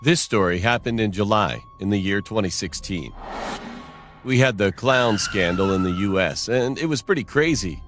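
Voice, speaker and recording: A man with a somewhat low voice narrates a personal horror story from a script. Faint music plays behind him as he tells it. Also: lively intonation, normal speed, a bit bright.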